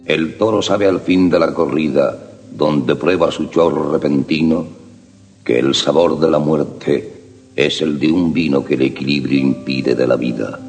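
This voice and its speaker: A man reciting love sonnets in a slow, solemn, measured delivery. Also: rumbling low, steady tone, a little mellow. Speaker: poetry reciter. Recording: long reverb with harp music behind.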